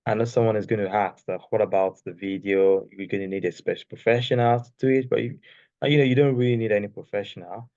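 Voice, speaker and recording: A man with a soft, lowish voice walks students through a screen-share tutorial, talking loosely and often checking in with "okay?" His voice comes through a dry, slightly dull external mic with no room sound. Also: fairly even intonation, fast talker, no room sound. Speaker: course creation instructor. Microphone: external microphone.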